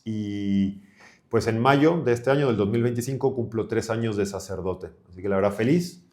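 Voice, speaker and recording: A man's voice: fairly low pitch, moderate pace, mellow. A man of thirty-five from Chile, a priest talking colloquially as a podcast guest. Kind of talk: conversational podcast interview.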